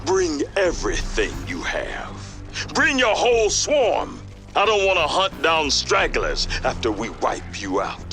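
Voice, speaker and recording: A man's voice: fairly high pitch, huge pitch swings, measured pace, pushed and bright, heavily acted. An actor playing a hardened older army general who barks commands and taunts his enemy in a game cutscene. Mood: defiant. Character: hardened old male army general, defiant. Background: music.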